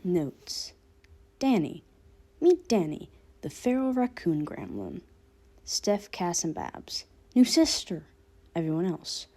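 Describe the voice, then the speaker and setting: A woman's voice: fairly low pitch, expressive, takes it slowly, long pauses, warm tone. A woman reading fan fiction aloud as scripted narration. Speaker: podfic reader.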